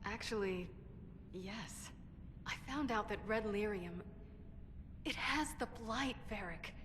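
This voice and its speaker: A cunning, self-assured female dwarf adventurer from a fantasy game. She talks with wry bite and stands her ground, in a mid-range voice. The sound rings with long cavern reverb over clear background noise. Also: medium pitch, slow pace, fairly bright tone. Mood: defensive, earnest. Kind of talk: video game cutscene voice acting.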